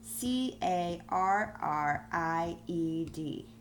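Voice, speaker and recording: A woman schoolteacher giving a phonics lesson to young pupils on video, explaining deliberately and spelling things out. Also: lowish voice, expressive, slight background noise. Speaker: primary school teacher.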